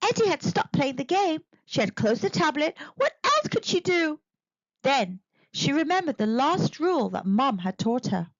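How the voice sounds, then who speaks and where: A woman narrating a children's storybook in an expressive, deliberate read-aloud manner. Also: sits high, dry recording, dull audio. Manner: animated, deliberate.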